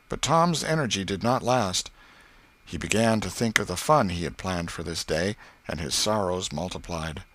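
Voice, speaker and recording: Low-voiced man narrating a classic story. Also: a bit bright. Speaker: audiobook narrator.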